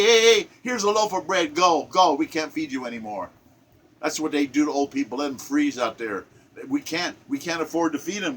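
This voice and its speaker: An impassioned man in his seventies speaks with emphasis, often repeating phrases to drive a point home. His voice sits a little high for a man and sounds bright and forceful. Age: 70s. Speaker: poetry reader. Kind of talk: impassioned spoken commentary and poetry reading.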